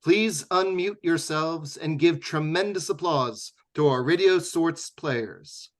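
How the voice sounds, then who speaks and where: A man with a slightly high voice serves as the announcer of a live radio drama show. He reads scripted closing announcements in a formal, theatrical manner that recalls old-time radio. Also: takes it slowly.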